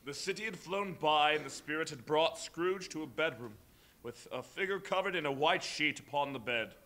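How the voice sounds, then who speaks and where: Bright-toned male stage narrator. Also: fairly high pitch, moderate pace. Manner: steady, storytelling.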